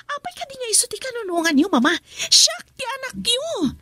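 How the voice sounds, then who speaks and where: A woman's voice: very high voice, big pitch swings, a little fast, background noise. A woman playing a resentful married daughter, emotional and complaining, in a Filipino radio drama in Ilocano. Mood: indignant. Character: resentful married daughter arguing with her mother.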